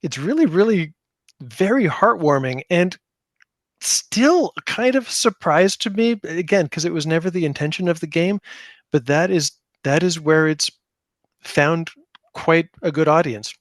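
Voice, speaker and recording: Man, higher-pitched voice, casual interview guest, self-deprecating, very dry close sound. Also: animated, measured pace, no echo. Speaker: podcast guest, tabletop game designer.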